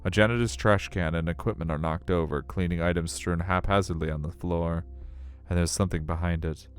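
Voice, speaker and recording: A man's voice: low-pitched, fairly even intonation, brisk, smooth. A man reading a visual novel aloud as a streamer, in a storytelling style. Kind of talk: storytelling narration with casual asides. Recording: music under the voice.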